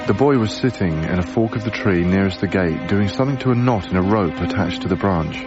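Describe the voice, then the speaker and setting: An actor playing a friendly young lodger in a radio drama, gentle, hesitant and chatty. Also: male voice, low-pitched, brisk, lo-fi sound, over music, natural voice. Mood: reflective. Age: young adult. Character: mild, lonely, friendly young male lodger. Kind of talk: naturalistic radio drama acting and first-person narration.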